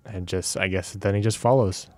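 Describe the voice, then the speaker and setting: A man's voice: low voice, steady tone, normal speed. A man playing a tabletop game, chatting casually and describing his character in a hesitant, rambling way. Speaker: player in a tabletop game podcast. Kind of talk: casual improvised game chat.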